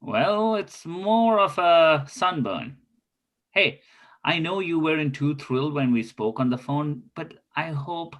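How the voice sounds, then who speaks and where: A man's voice: fairly high pitch, animated, slow, fairly bright tone. Man playing a courtly, lovestruck spy. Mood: welcoming. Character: earnest thirty-something male spy, courtly and lovestruck. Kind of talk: scripted table read.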